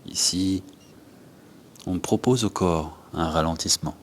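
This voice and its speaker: Deep-voiced man guiding yoga. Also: a little hiss or hum. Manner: unhurried, instructing in short phrases with pauses. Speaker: yoga teacher. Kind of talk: guided yoga and breathing instruction.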